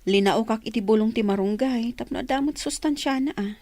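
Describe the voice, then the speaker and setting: An actress playing an anxious, coaxing wife of a poor labourer in a radio drama. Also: female voice, a little high, brisk, a little mellow, dry recording, lightly acted. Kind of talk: radio drama acting in dialogue.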